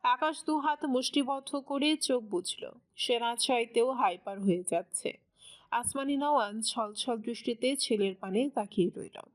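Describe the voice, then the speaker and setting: Casting: female narrator for scripted fiction, slightly high register, storytelling delivery. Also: measured pace.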